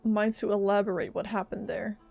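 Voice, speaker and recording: A woman streaming a game live, chatting and reacting in an expressive, dramatic, exclamatory way. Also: medium pitch, fairly quick, creaky. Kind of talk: live gameplay reaction chat. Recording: close dry mic over game music. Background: game music.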